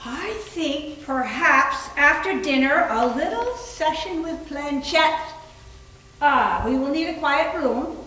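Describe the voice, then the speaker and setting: A woman in a readers theater playing a bossy, pompous doctor's wife and spiritualist with an imperious, brisk manner. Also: high voice, expressive, sharp tone, a little hiss or hum, full character voice. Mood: self-important. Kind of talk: readers theater stage reading. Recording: echoey room, mic at a distance.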